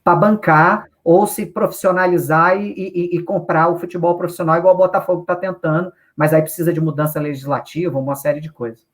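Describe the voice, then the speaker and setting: A man's voice: on the high side, fairly even intonation, fairly quick, few pauses. A man from Brazil with a Brazilian accent, a guest giving casual football analysis, opinionated and swearing casually, often restarting his sentences. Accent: Brazilian Portuguese.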